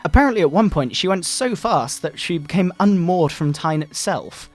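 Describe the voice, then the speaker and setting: Man putting on a pompous, high-pitched fire-and-brimstone moral crusader. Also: animated, soft background music. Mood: scornful, preachy. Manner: pompous, melodramatic preaching with drawn-out words. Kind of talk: mock-dramatic satirical reading.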